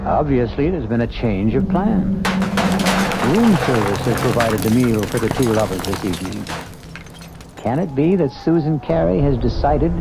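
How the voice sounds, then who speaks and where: A man's voice: mid-range voice, background noise. A man hosting a 1970s radio mystery drama, narrating in a wry, theatrical way over music. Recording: vintage radio broadcast with music.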